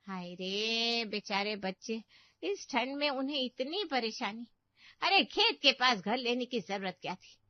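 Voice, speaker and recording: Meddling older aunt, played by a woman, highish voice, nagging, boastful, cartoon story drama, dry slightly muffled recording. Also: dry recording, lightly acted. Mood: pitying, nagging. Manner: scolding, pitying, boastful.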